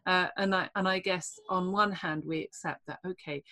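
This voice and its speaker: A woman's voice: fairly low pitch, fairly even intonation, normal speed, bright, forceful tone. A middle-aged woman hosting a casual podcast chat, thoughtful and easy to laugh, often restarting her sentences. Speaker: podcast host. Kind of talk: casual conversation.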